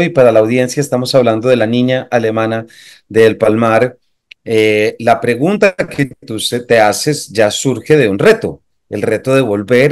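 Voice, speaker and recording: A man hosting an interview, asking long, winding questions. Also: medium pitch. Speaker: interviewer, host. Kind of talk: conversational interview.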